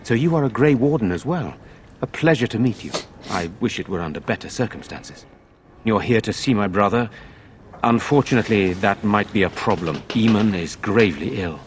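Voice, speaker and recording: A gracious but burdened lord of middle years from a fantasy game, played by a male actor. He speaks in a fairly low voice with formal, measured earnestness, over slight background noise. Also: lively intonation, lightly acted. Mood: grave, urgent. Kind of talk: fantasy video game acting.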